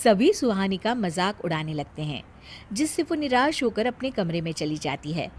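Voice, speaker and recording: A woman's voice: medium pitch, very animated, normal speed, slight background noise. A woman narrating a scripted cartoon story as the storyteller.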